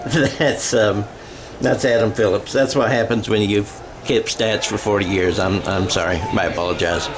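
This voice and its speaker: A man's voice: fairly low pitch, steady tone, moderate pace, background noise. A middle-aged American man calling a football game in radio play-by-play style, with a folksy, self-correcting manner. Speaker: play-by-play sports announcer.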